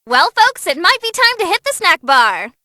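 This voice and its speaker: A woman voicing a bubbly, bloodthirsty young female tournament announcer in a video game, commenting on fights with gleeful, teasing theatrical excitement. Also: very high-pitched, normal speed, exaggerated voice. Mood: lively.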